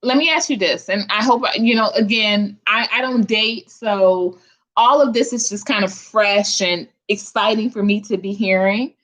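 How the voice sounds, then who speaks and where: Bright-voiced woman chatting live. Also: mid-range voice, normal speed. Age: 40s. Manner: chatty and informal, addresses viewers as sis and honey. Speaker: host of a live chat stream. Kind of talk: casual live chat with viewers.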